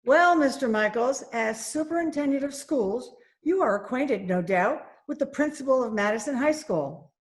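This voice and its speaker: A woman's voice: high voice, expressive, normal speed, few pauses. A woman playing a formal, insistent businesswoman and mother, speaking precisely in an old-time radio comedy.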